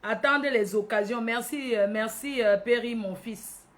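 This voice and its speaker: A woman's voice: fairly high pitch, a little slow. A woman from Cameroon with a Cameroonian accent hosting a casual livestream, addressing followers by name, repeating phrases and tagging on questions. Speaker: livestream host.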